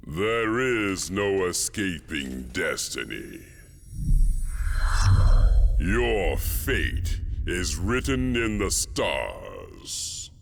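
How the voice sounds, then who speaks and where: A man playing a menacing, tyrannical alien warlord in a video game, with a grandiose, ominous delivery and a very deep gravelly voice. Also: wildly swooping pitch, extremely slow, fairly bright tone, slight background noise, full character voice. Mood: menacing, grand. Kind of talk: video game villain acting. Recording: music behind.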